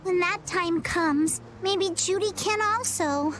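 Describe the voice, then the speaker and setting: A woman's voice: very high voice, slow, a bit bright, a little hiss or hum, full character voice. A woman voicing a quirky, carefree young pirate girl in a video game, with a folksy, breezy manner. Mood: wistful.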